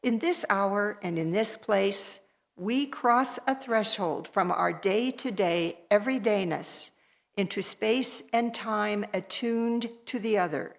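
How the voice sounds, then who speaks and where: A woman leading a worship service, reading and announcing in a measured, ceremonial way. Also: mid-range voice, gravelly. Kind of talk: liturgical reading and announcements to a congregation.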